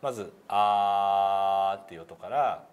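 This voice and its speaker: A man's voice: sits low, slow pace. A man giving a hands-on pronunciation lesson as instructor, in a polite, encouraging way. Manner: polite, encouraging, often chuckling. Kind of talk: hands-on lesson, demonstrating single sounds. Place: meeting room indoors. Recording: clear background room noise.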